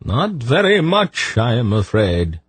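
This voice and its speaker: A man's voice: medium pitch, sing-song, slow pace, slightly rough, no echo, voice pushed up, heavily acted. Man doing a wary, murmuring physician. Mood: regretful. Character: shrewd male poisoner-physician, cautious, worldly.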